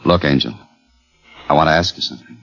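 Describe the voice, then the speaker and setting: An actor playing a troubled, tough police detective in vintage radio crime drama, with clipped hard-boiled delivery. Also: male voice, a bass voice, quick pace, gravelly, exaggerated voice. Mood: gentle, worried. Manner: hard-boiled, clipped first-person narrating and dialogue. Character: troubled tough police detective whose wife is accused of murder. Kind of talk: 1940s-50s radio crime drama acting. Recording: dull vintage radio recording with loud music.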